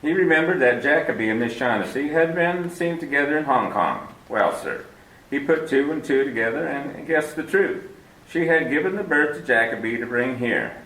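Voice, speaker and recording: An actor playing a genteel older crime boss in a 1940s-style radio play, pompous, courtly and chuckling. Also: male voice, mid-range voice, expressive, moderate pace, a bit bright, roomy sound, recorded from a distance, natural voice, low-quality recording. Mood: candid.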